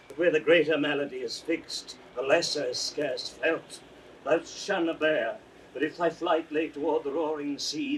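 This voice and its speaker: A man's voice: a little high, a bit bright, low-quality recording. An actor playing a proud, anguished old king slipping toward madness, grave and declamatory in classical Shakespearean style. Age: older. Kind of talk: classical Shakespearean audio drama acting. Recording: hissy vintage 1960s drama recording.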